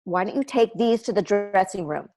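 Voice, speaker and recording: A woman's voice: mid-range voice, moderate pace, darkish tone, natural voice. A woman reading the part of a cheerful, oblivious suburban mom at a sitcom table read. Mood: chirpy.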